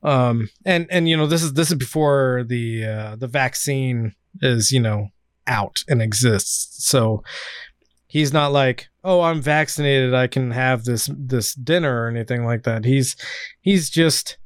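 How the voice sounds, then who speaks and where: A man hosting a casual political podcast, talking in halting bursts with many fillers and restarts. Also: mid-range voice, smooth, no echo, clean studio sound. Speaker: podcast host.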